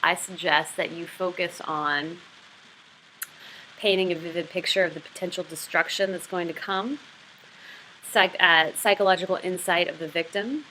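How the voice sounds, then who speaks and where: A woman with a fairly low but bright, forward voice gives a lesson on literary craft. She moves between explanation and expressive read-aloud narration. There is faint noise behind her. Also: moderate pace, poor audio. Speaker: writing teacher.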